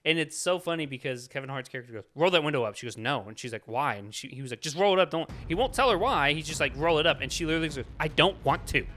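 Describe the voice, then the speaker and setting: A man's voice: a little high, expressive, fast, hardly any pauses, a bit bright. A man chatting casually and bluntly, giving a scornful, opinionated film review as a show host. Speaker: movie review podcast host.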